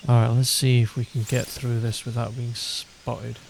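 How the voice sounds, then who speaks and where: Gravelly man doing casual gameplay commentary. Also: medium pitch, flat delivery, moderate pace, darkish tone. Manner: loose, thinking aloud. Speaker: gaming video host. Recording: clear game noise behind voice. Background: game ambience.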